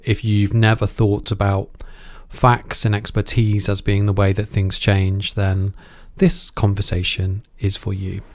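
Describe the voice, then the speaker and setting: Low-voiced man hosting a podcast. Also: completely flat delivery, measured pace, few pauses, no room sound. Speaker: podcast host and interviewer. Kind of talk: conversational podcast intro and interviewing.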